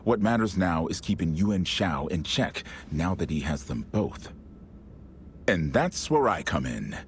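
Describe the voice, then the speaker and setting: A deep, loyal military strategist. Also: male voice, big pitch swings, slight background noise. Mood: thoughtful. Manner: formal, measured, thoughtful. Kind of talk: dramatic video game cutscene acting.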